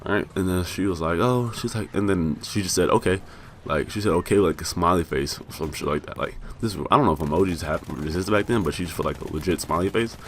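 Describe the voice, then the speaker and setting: A young American man telling a rambling, profane story about himself with self-mocking laughs, his voice a bit raspy from being high. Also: fast talker, a little hiss or hum. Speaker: vlogger. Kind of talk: casual storytelling.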